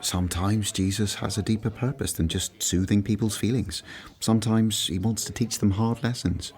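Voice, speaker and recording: A man playing an earnest, thoughtful disciple in a radio play, speaking in a measured, sober way. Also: lowish voice, fairly even intonation, a little mellow, slightly rough. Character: earnest, thoughtful, serious male disciple of Bible times.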